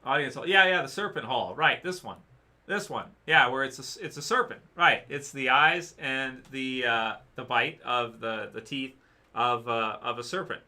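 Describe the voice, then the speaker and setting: Bright-voiced man rambling on a livestream. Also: mid-range voice, moderate pace. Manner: rambling, hesitant, many fillers and restarts. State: losing his voice. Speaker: livestream host answering chat. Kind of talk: casual livestream chat.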